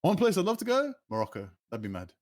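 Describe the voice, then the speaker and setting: Animated young man, dry close sound. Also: sits high, fast, no echo. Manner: animated, laughs often, says bro a lot. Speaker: streamer guest. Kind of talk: casual chat.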